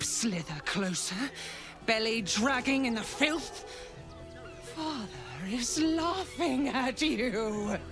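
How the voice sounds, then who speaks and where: Slow, sadistic female assassin. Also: medium pitch. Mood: mocking menace. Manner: slow, theatrical, menacing delivery. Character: sadistic female assassin, taunting and gloating, bloodthirsty. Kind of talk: dramatic video game voice acting.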